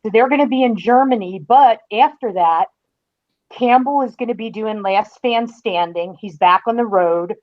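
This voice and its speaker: A woman's voice: a little high, moderate pace. Casual woman guest, slightly dull audio. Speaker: podcast guest, fan-site writer. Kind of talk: casual informative chat.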